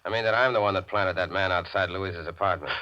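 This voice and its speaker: Very deep, sly radio heavy. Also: male voice, pushed and bright, highs cut off. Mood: sly gloating. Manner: taunting, deliberate. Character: sly, mocking, menacing tough guy scheming revenge. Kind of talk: 1940s radio mystery acting. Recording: band-limited 1940s radio broadcast with music.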